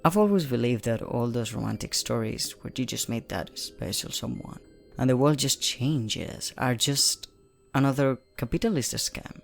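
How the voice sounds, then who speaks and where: A man playing an obsessive, sardonic romantic killer in an audio drama monologue, deliberate and sinister with a mock-romantic intimacy. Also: medium pitch, vocal fry, lightly acted. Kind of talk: dramatic podcast trailer monologue. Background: faint music.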